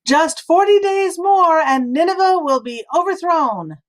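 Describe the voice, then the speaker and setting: A woman's voice: very high-pitched, lively intonation, a little slow, voice pushed up. Woman voicing Jonah, a fervent, solemn prophet. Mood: loud warning. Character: Jonah, earnest, distressed male prophet praying to God.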